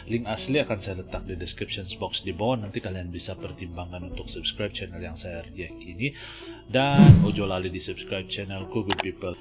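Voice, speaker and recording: A man in his thirties speaks with a Malaysian Malay accent. He hosts a casual reaction video into a studio mic in a small home studio, his fairly low voice earnest and emphatic. Loud music plays behind him. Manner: earnest, says "guys" constantly, emphatic. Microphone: studio mic on arm, wearing headphones. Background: music from the video being reacted to.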